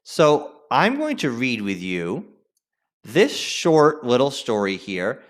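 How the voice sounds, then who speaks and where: A male teacher giving an instructional screen-share lesson. His pitch moves in very lively swings as he stresses key phrases. He sounds informal yet directive, often checking in with his students. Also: takes it slowly.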